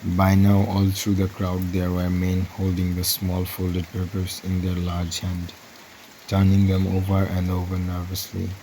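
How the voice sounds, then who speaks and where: Low-pitched man reading a story. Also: monotone, a little slow, dark tone, a little hiss or hum, low-quality recording. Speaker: audiobook reader. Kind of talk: scripted story narration.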